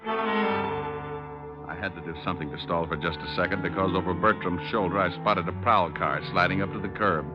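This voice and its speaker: An actor playing a wisecracking, unflappable tough private detective with a wry, teasing manner, in mid-century radio drama style. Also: male voice, low voice, sing-song, normal speed. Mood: hardboiled narrating. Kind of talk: 1940s-50s radio detective acting and narration. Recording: muffled old radio recording, music behind.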